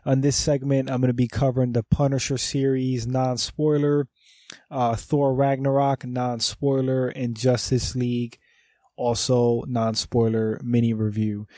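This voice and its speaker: A man hosting his podcast, congested from a slight cold, talking casually and enthusiastically with frequent swearing and throat clearing. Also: mid-range voice, flat delivery, measured pace, mellow, no room sound, clean studio sound. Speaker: podcast host. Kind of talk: casual review monologue.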